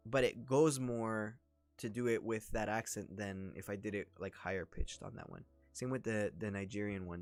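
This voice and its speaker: A man's voice: lowish voice, no echo. A man hosting a casual livestream, talking in a rambling, chatty way with many fillers. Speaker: livestream host and scam baiter.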